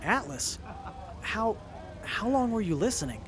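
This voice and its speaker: Nervous, earnest young male tinkerer played by a man for audio drama; stammery, flustered, very animated pitch. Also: unhurried. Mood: flustered, anxious. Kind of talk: post-apocalyptic audio drama acting.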